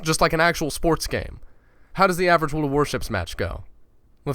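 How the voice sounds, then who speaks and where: A man's voice: medium pitch, a bit bright, clear, resonant tone, no room sound. A man giving casual, ranting commentary as a gaming YouTuber, blunt and profane, with stumbling repeats as he talks.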